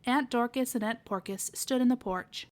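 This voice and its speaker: A woman's voice: on the high side, normal speed. A woman narrating a children's storybook as a read-aloud podcast reader.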